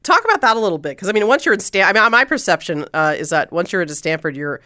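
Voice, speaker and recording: A woman co-hosts an interview podcast, asking her questions thoughtfully with the odd um. Her voice is bright and crisp, mid in pitch. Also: big pitch swings, very fast. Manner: thoughtful questioning, hedging with ums. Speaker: podcast co-host. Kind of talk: conversational interview.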